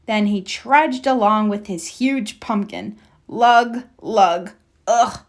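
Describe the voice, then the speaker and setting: A woman's voice: mid-range voice, slow. A woman reading a children's storybook aloud expressively, with playful sound effects. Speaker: storybook reader.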